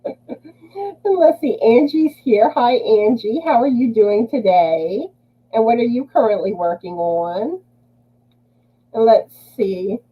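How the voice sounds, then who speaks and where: A woman's voice: a little high, lively intonation, slow, pauses often, husky, lo-fi sound. A woman with a Southern US accent hosting a casual livestream chat, laughing often as she greets viewers by name. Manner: laughs often while reading chat names. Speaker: livestream host.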